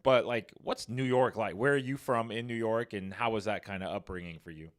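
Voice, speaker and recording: Eager, chatty man hosting. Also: on the low side. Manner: eager, chatty, quick to laugh. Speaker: podcast host. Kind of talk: casual interview questions.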